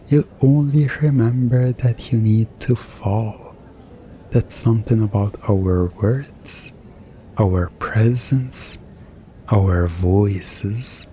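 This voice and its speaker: A man playing a mischievous, smugly commanding hypnotist in an ASMR roleplay, soothing and teasing with deliberate pauses. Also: lowish voice, dark tone, slight background noise.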